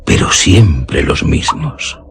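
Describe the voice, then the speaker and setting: A man with a very low voice narrates a gothic horror story over prominent music. His delivery is slow, solemn and literary, built for suspense. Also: very animated, creaky. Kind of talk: scripted dramatic narration of a gothic horror tale. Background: music under the voice.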